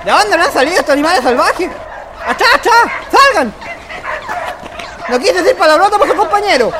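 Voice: very high-pitched, lively intonation, brisk, background noise. A performer with a Chilean accent playing a weak, clumsy, anxious boy in a radio play, exclaiming and scolding in colloquial speech. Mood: angry, frightened. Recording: loud music behind the voice.

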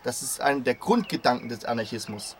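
A man playing an earnest, intellectual anarchist father who argues in measured, formal phrases in a scripted radio play. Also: medium pitch, lively intonation, close to an everyday voice. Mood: earnest, firm. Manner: measured, argumentative, formal wording. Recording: clear background noise and ambience.

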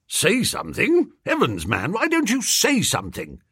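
A man voicing a peevish, blunt young English gentleman who grumbles stubbornly in an audiobook story. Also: mid-range voice, big pitch swings, takes it slowly, breathy edge, no room sound, clean studio sound, full character voice.

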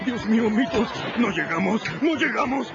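A fearful, pleading servant in a radio drama. His voice swings up and down in pitch and has a gravelly catch, sounding humble and trembling. The recording is dull, with music underneath. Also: expressive, moderate pace, very noisy. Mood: panicked. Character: fearful, deferential enslaved man Jim.

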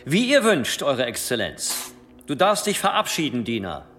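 An actor playing a shrewd, insinuating medieval cathedral provost in a radio play, formal and smoothly insistent. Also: male voice, medium pitch, wildly swooping pitch, moderate pace, fairly bright tone, slight background noise. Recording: long hall reverb, faint music.

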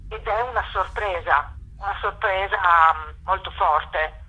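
A woman's voice: medium pitch, a little slow, sharp tone, husky. A woman giving reflective, hesitant interview answers over the telephone, repeating phrases for emphasis. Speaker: theatre director, interview guest. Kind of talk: unscripted radio interview answers. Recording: dull, lo-fi telephone call to radio.

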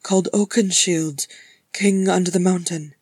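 A woman's voice: lowish voice, fairly even intonation, a little slow, a little mellow, slightly rough, dry recording, studio quality. A woman voicing an earnest, stout male dwarf in a fantasy story, awestruck and bewildered, with emphatic, old-fashioned formal phrasing. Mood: awestruck, respectful.

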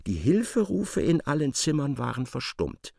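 An actor playing an intense storyteller, narrating a feverish tale for radio drama. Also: male voice, mid-range voice, sing-song, moderate pace, vocal fry, a little mellow, dry recording, studio quality. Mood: urgent, intense.

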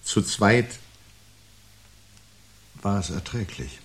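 An uneasy husband in a seventies radio play. His voice is very low and husky, and he speaks deliberately, brooding over each word as if disaster were near. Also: full character voice. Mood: wary, resigned. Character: uneasy, brooding husband sensing a looming catastrophe. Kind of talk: 1970s radio drama acting.

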